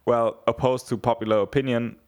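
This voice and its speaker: A man's voice: mid-range voice, little pitch movement, fairly quick. Young man, casual rambling talker, swears freely, lots of restarts. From Germany (southeast Bavaria). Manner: rambling, swears a lot, many fillers and restarts. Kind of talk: casual chat.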